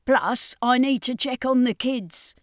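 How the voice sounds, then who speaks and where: A woman's voice: high-pitched, measured pace, dry recording, clean studio sound, pitched above the performer's own voice. A woman reading a storybook, voicing a glamorous undead vampire lady. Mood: breezy.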